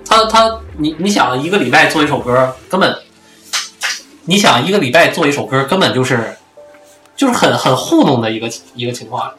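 Quick-talking man in an echoey room. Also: medium pitch, lively intonation, pushed and bright, soft background music. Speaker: podcast co-host. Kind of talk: casual chat. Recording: roomy, echoing sound.

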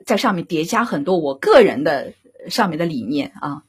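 Husky, expressive woman director in discussion. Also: low voice, normal speed. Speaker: radio drama director, interview guest. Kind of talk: reflective discussion of creative work.

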